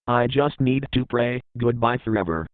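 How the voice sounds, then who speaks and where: A man's voice: medium pitch, lively intonation, normal speed, noisy background, lightly acted. A man playing a despairing news broadcaster in a dramatized doomsday broadcast, grave and emotional. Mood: grave, resigned. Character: despairing male newsman announcing the end of the world. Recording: tinny phone-like band, dry.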